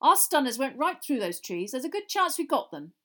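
A woman's voice: high-pitched, very animated, brisk, pushed and bright, pitched above the performer's own voice, heavily acted. Woman as an assertive middle-aged wizard man. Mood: confident.

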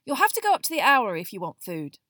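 A woman reader puts on an impatient teenage boy from a storybook. He speaks curtly and forcefully, pitched high with lively swings. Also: normal speed, no echo, clean studio sound. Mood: furious, snappish. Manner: curt, snappish, forceful. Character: irritable, impatient, troubled teenage schoolboy. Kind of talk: acted audiobook dialogue.

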